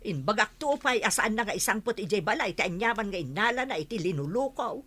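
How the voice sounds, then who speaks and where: Woman acting disapproving village wife, forceful bright voice, lively swooping pitch, Ilocano accent, radio comedy. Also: quick pace, slightly rough. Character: disapproving older-sister village wife, fed up, righteous.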